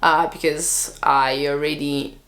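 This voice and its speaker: A woman's voice: sits low, little pitch movement, slow, fairly bright tone. A young woman from Italy with an Italian accent, talking casually to camera in earnest, loosely phrased English full of fillers. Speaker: vlogger.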